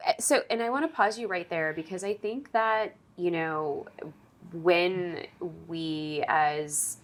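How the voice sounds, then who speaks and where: A woman hosting a podcast interview, empathetic and expressive, with vivid reactions to her guest. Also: fairly low pitch, slow pace. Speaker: podcast host. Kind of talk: conversational interview.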